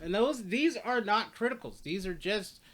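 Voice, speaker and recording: A man's voice: high voice, animated, normal speed, background noise. A man hosting a gaming video, giving casual gameplay commentary in an informal, rambling way and correcting himself as he goes. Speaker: gaming channel host.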